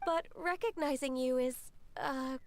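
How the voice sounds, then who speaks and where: An actress playing a gentle, dutiful young female secretary in a video game, speaking politely and formally. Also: high-pitched, measured pace. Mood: warm, courteous. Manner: polite, soft-mannered, formal.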